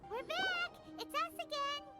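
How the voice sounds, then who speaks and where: A woman voicing a tiny, cheerful, chatty floating girl companion in a video game, with a squeaky childlike voice and cheeky, exclamatory delivery. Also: unhurried. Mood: excitable. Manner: speaks of self in third person, exclamatory. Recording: game music behind the voice.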